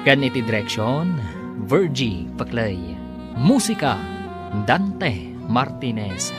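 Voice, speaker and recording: A man's voice: medium pitch, very animated, music in the background. A man giving the scripted closing announcement and credits of a radio drama. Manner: measured, announcing.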